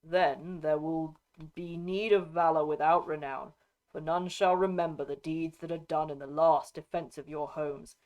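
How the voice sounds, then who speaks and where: A woman's voice: low-pitched, steady tone, unhurried, darkish tone, rough recording. A woman voicing a stern, resolute noble lord in a fantasy audiobook, with solemn, firm and archaic formal phrasing. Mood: grave counsel. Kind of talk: dramatic audiobook character reading.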